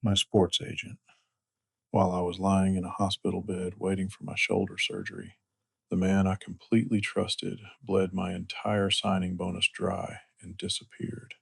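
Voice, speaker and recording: A man playing a protective, chivalrous romantic hero in an audio drama, with a husky, gravelly voice and an earnest, measured, reassuring manner. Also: sits low, completely flat delivery, dry recording, exaggerated voice. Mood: grave, wounded.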